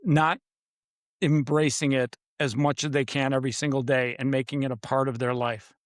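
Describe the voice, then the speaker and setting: A man's voice: mid-range voice, little pitch movement, moderate pace, no room sound, clean studio sound. A man speaking as an interview guest, conversational but emphatic, in short punchy declarations with dramatic repetition. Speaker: podcast guest, author.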